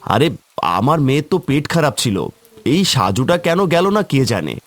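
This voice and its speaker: Man putting on brusque, animated villager. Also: medium pitch, a little fast, dry recording. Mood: defensive. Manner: brusque, excitable. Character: blunt, suspicious village man, quick to challenge. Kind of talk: cartoon character acting.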